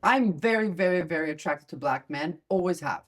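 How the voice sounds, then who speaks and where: A middle-aged woman from Sweden, hosting a podcast in a chatty, candid and animated way. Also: fairly low pitch, brisk. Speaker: podcast host. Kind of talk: casual chat.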